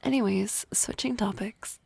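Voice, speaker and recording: A woman's voice: mid-range voice, a little slow, gravelly, husky, dry recording, exaggerated voice. A woman playing a teasing young harpy girl. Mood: playful. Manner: soft, often whispered, teasing. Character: energetic young female harpy classmate, teasing. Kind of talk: ASMR roleplay.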